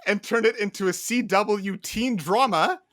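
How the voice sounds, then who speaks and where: A man co-hosting a podcast in casual banter, telling things like an animated storyteller and often checking in with "right?". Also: high voice, unhurried, no echo, studio quality. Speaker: podcast co-host.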